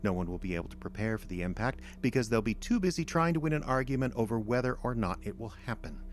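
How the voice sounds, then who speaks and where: An actor playing a cold, patient, condescending alien invader in an audio drama, lecturing in a measured, smug way with contempt for humanity. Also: male voice, medium pitch, lively intonation, slight background noise, close to an everyday voice. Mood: smug, gloating. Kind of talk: audio drama villain monologue.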